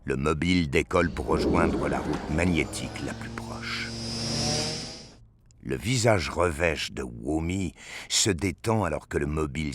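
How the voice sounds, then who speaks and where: Very deep, unhurried male narrator. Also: a bit bright, slightly rough, no echo. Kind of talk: scripted dramatic narration of a sci-fi audio drama.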